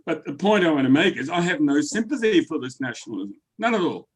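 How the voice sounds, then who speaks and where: A man's voice: a little high, sing-song, fast, breathy edge. A man who grew up in the Netherlands, taking part in a group book discussion, emphatic and prone to stammering and repeating words.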